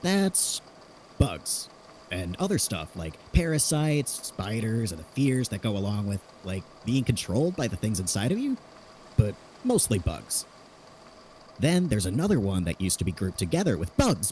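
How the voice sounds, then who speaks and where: A man in a podfic audio drama playing an eager, sheepish inventor who rambles haltingly as he explains his grim work. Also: lowish voice, wildly swooping pitch, a little mellow, slight background noise. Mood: eager, explaining. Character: eager rambling inventor cheerfully cataloguing fears, sheepish about deaths.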